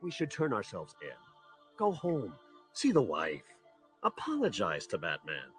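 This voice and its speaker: An actor playing a deranged split-personality villain in an over-the-top superhero audio drama, with a gravelly, strained voice. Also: male voice, fairly low pitch, huge pitch swings, a little slow, fairly bright tone, soft background music, heavily acted. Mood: meek, pleading. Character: split-personality male villain, meek then savagely deranged.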